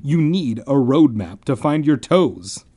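A man's voice: mid-range voice, expressive, slow pace, warm tone. A man voicing an irritable, impatient schoolboy in a storybook, sarcastic and grumbling. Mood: angry, annoyed. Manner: exasperated, sarcastic.

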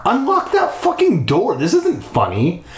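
Angry, demanding girl for a spooky tale male reader acting, raised pitch, echoey room. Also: huge pitch swings, measured pace, full character voice. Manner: demanding, exclamatory. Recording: roomy echo.

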